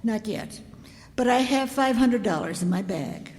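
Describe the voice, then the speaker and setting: Woman playing a high, swooping suburban matron. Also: lively intonation, a little slow, darkish tone, long reverb tail. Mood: earnest, confiding. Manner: prim, wordy, talks over her husband. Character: married suburban matron, genteel, insistent, credulous about seances. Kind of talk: old-time radio detective drama acting.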